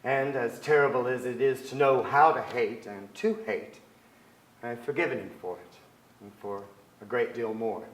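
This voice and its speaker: Male reader, forties, reflective and measured, mid-range voice, theater echo. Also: medium pitch. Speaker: stage actor, reader. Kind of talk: dramatic staged literary reading. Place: on stage in a theater with an audience. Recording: echoey theater stage.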